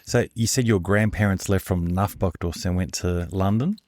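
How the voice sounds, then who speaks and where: A man with an Australian accent co-hosting a podcast, easygoing and quick with friendly affirmations. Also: sits low, a little mellow, no room sound, clean studio sound. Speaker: podcast co-host. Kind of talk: casual podcast chat.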